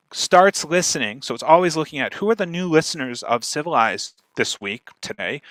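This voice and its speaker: A man's voice: medium pitch, sharp tone, dry recording, poor audio. A man giving an informal instructional presentation as a speaker, explaining things step by step and checking in with listeners as he goes. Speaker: speaker, podcast marketer giving a talk.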